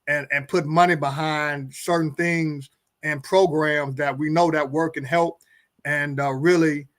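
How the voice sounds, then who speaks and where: Higher-pitched middle-aged man talking earnestly. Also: fairly even intonation, unhurried. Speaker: guest pastor and co-host. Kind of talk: earnest talk-show conversation.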